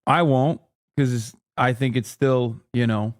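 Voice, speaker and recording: A man's voice: medium pitch, steady tone, a little slow, no room sound, clean studio sound. A man from the United States giving casual, rambling live-stream commentary, sweary and exasperated. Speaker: streamer reacting to a video.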